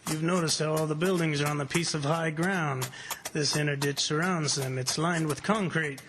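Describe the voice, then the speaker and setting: An actor playing a smug, unflappable plantation owner in old-time radio drama style, confident and offhand. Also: male voice, fairly high pitch, fairly even intonation, normal speed, background noise, natural voice. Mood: proud confidence. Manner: confident, offhand, matter-of-fact.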